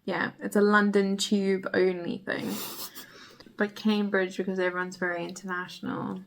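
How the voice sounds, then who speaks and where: A woman's voice: medium pitch, level tone, normal speed, vocal fry, background noise. A young woman with a South African accent, chatting casually as a guest on a friend's vlog. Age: young adult. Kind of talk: casual chat. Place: apartment.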